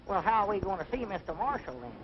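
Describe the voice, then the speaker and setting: A gullible old country man in a radio comedy, high and lively, with a rural Southern twang and simple-minded manner. The aged recording is dull and faintly hissy. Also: fast talker. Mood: wondering. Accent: rural American South. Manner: folksy, simple-minded, literal. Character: naive, gullible old country bumpkin inventor.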